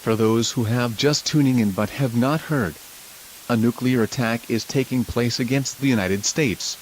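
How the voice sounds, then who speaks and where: A man playing a local TV news anchor in a scripted emergency broadcast, speaking in a formal, measured way. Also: medium pitch, a little hiss or hum, close to an everyday voice, rough recording. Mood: grave, urgent. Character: local TV news anchor reporting a nuclear attack.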